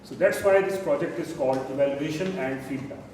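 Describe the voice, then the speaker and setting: A man's voice: on the high side, fairly quick, a little mellow. A man chairing a speaking club meeting and explaining the rules step by step. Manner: instructive, step by step. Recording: very echoey room, far mic, noisy.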